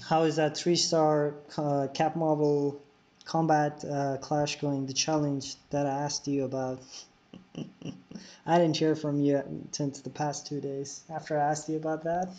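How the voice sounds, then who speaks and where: Casual male game streamer, slightly high voice. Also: level tone, a little slow, dark tone. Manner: casual, answers chat while playing, frequent fillers. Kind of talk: live-stream commentary while playing. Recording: dull lo-fi recording.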